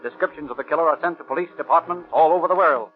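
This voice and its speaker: An American actor playing an urgent narrator of a 1930s police radio drama, with a clipped bulletin delivery. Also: male voice, fairly high pitch, rapid-fire, close to an everyday voice. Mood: urgent, dramatic. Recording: tinny 1930s radio recording with music.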